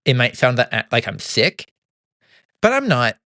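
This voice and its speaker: Upbeat young man, smooth clear voice. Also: medium pitch, wildly swooping pitch, bright, forceful tone, no echo, clean studio sound. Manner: halting, many restarts and stammers; upbeat, laughs easily. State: throat sometimes tight and slightly gravelly. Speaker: podcast host. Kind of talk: casual monthly recap monologue.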